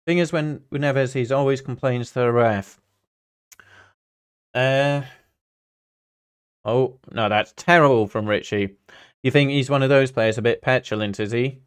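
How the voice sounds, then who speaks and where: A man's voice: mid-range voice, steady tone, unhurried, pauses often, smooth. A man from Singapore hosting a casual football watch-along, rambling chattily between viewer chat, anecdotes and play-by-play. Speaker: football livestream host, Newcastle fan.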